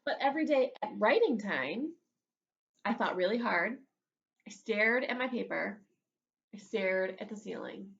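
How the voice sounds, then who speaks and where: A woman's voice: medium pitch, expressive, a little slow, creaky, pauses often, muffled recording. A woman reading a children's storybook aloud as a schoolteacher, expressively, with asides to her young pupils. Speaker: elementary school teacher.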